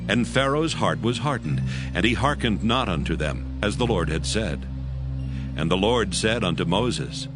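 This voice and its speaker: A man's voice: fairly low pitch, animated, a little slow. A man giving a solemn, formal scripted narration of scripture. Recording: prominent music and effects behind.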